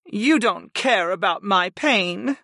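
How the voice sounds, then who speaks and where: A narrator putting on a high, yearning succubus servant. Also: animated, slow pace, gravelly, bright, forceful tone, no room sound, studio quality, exaggerated voice. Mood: wounded, devoted. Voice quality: high, sighing, purring. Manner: submissive, pleading, wounded. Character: devoted, yearning female winged succubus servant.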